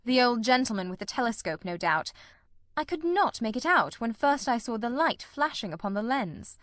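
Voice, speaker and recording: A woman acts out a lean, keen gentleman detective in an audiobook. The voice is high for the part and recorded very dry. The delivery is precise, composed and politely teasing, with an incisive, ironical edge. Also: very animated, normal speed, no echo. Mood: brisk, approving. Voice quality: cold, incisive. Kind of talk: audiobook character dialogue.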